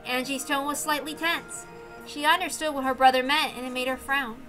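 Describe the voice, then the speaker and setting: A woman narrates a visual novel in an expressive reading style. Her voice is high and very bright. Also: moderate pace, faint music behind. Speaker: reader and narrator.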